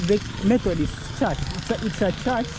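Excitable young man on a noisy street. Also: high voice, moderate pace. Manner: excitable, repeats phrases, addresses viewers. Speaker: vlogger. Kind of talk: walking tour vlog. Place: outdoors on a busy town street. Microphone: camera held at arm's length. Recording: street traffic noise behind. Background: traffic and street noise.

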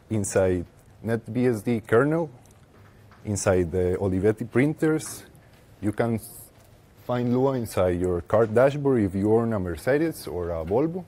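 A young man with a Costa Rican Spanish accent giving a technical presentation as a conference speaker, haltingly, with pauses mid-phrase. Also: mid-range voice, mellow. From Costa Rica. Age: young adult. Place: lecture room with seated audience, indoors.

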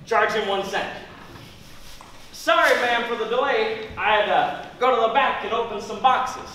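A man's voice: high-pitched, moderate pace, sharp tone. A man acting a laid-back, hesitant grocery store worker in an amateur stage comedy. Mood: easygoing. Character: slow, easygoing, idle male grocery store worker. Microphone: distant camera. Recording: very echoey stage, distant, noisy.

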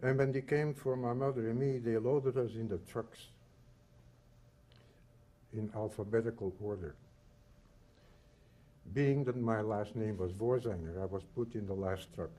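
A man in his eighties from the Netherlands and Belgium, reading a prepared personal testimony in measured, solemn short phrases. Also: lowish voice, steady tone, warm tone. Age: 80s. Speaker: Holocaust survivor giving testimony.

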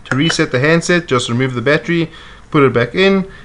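A man's voice: a little high, fairly quick, a bit bright, a little hiss or hum. Methodical man explaining device setup. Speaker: product demonstrator. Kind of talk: step-by-step instructional voice-over. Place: indoors at a table.

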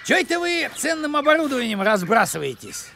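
A man's voice: very high-pitched, big pitch swings, brisk, gravelly, full character voice. A man voicing a nosy, officious, grumbling village postman in a cartoon, with a nagging manner. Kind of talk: animated series voice acting.

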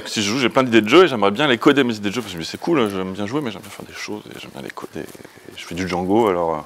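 A man's voice: fairly low pitch, a bit bright. A man in his thirties speaking as a conference presenter in an informal, colloquial and opinionated way, often hesitating and interrupting himself. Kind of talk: informal tech talk to an audience. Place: indoor meeting room, standing before a projection screen.

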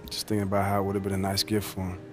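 An actor playing a reflective, easygoing American basketball player in a naturalistic, low-key video game performance. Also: male voice, monotone, very fast, music in the background, lightly acted. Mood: reflective, subdued. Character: professional basketball star, reflective, easygoing, close to his family.

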